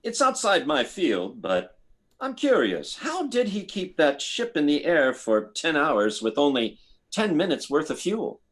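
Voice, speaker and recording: A man acting a measured, clinical military doctor in a 1950s-style radio drama. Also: a little high, huge pitch swings, fairly bright tone, exaggerated voice. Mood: curious, musing.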